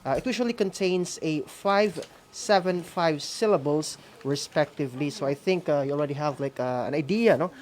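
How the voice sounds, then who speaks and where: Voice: mid-range voice, moderate pace, hardly any pauses, a little mellow, a little hiss or hum. A Filipino radio-school co-host speaking casually and hesitantly, giving reminders to participants and often tagging phrases with "no?". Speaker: co-host of a school-on-the-air program.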